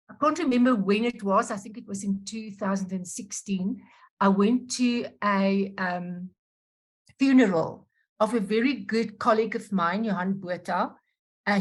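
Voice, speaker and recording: A woman speaking as a journalist on a panel over a video call, talking in a halting way with many restarts. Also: medium pitch. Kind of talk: unscripted panel talk.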